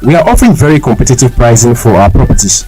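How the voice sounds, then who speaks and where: A man's voice: medium pitch, sing-song, very fast, dark tone, soft background music, close to an everyday voice, rough recording. A man as a polite company representative in a scripted radio advert interview. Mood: polished, promotional. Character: polite male estate company representative selling homes.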